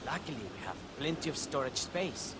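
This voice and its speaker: Man playing an animated, showy winemaker over noisy ambience. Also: medium pitch, fairly quick, natural voice. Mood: proud, presenting. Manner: flowery, courteous, showy. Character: fussy, effusive male chief winemaker and vineyard tour guide. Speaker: tour guide. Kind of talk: video game cutscene acting.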